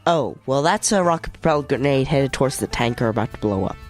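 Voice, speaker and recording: A man's voice: medium pitch, animated, fast, faint music behind. A man on a radio comedy panel, joking in casual banter.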